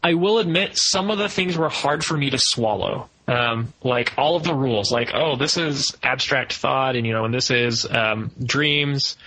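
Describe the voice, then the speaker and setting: A man with a bright, forward voice. His pitch sits in the middle of the male range. He talks as a podcast co-host, casually laying out his opinions in a film review. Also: normal speed, hardly any pauses, poor audio.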